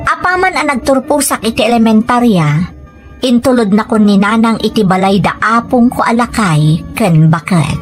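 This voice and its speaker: A woman's voice: on the high side, lively intonation, normal speed, over music, close to an everyday voice. A woman with a Filipino accent voicing a sorrowful young daughter who narrates her own story from a script. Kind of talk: scripted first-person narration.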